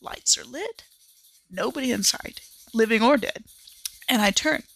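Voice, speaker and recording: A woman's voice: a little high, a little slow, vocal fry, fairly bright tone, a little hiss or hum, low-quality recording. A woman who is a podcast regular, talking casually and recounting a story in the first person.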